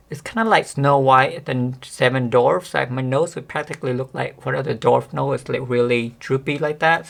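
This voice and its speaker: A woman vlogger chatting casually to the camera with a monotone, deep voice that sometimes cracks, rambling with many fillers. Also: gravelly. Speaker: beauty vlogger.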